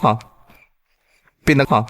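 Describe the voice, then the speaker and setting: A man's voice: takes it slowly, fairly bright tone. A man with an Ilocano accent playing a worried grandfather in a drama, with frequent dismayed exclamations. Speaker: father and grandfather character.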